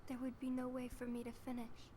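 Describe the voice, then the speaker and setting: Woman as a high-voiced gentle young girl. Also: completely flat delivery, fast talker, slight background noise, lightly acted. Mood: worried. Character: gentle, soft-hearted, worried young girl. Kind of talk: video game cutscene voice acting.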